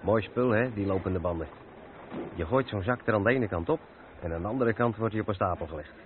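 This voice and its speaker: An actor playing a practical, down-to-earth lorry driver in a Dutch radio drama. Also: male voice, on the low side, brisk, lightly acted. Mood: matter-of-fact. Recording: muffled narrow-band old radio play, noisy.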